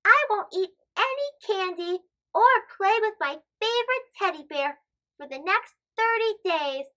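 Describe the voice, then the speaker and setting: A woman voicing an excitable little girl in a children's storybook, with an eager, childlike manner. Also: very high voice, unhurried, dull audio, pitched above the performer's own voice, full character voice. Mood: earnest. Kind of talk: children's storybook read-aloud.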